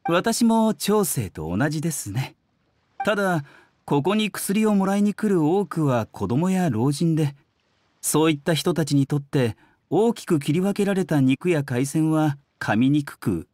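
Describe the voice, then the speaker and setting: A man playing a courteous young herbal doctor in a video game, soft-spoken, polite and measured. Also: on the high side, big pitch swings, no echo, clean studio sound. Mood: explanatory, modest. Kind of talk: Japanese game voice acting.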